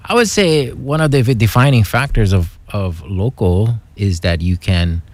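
Low-voiced man musing hesitantly. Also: animated, moderate pace, darkish tone, no room sound. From Hawaii. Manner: thoughtful, hesitant, many fillers and restarts. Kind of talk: casual explanatory monologue.

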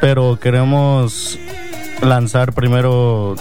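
A man's voice: mid-range voice, takes it slowly, faint music behind. A man in his twenties, a band member, answering casually with some hesitant restarts. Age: 20s. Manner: relaxed, a bit hesitant with restarts.

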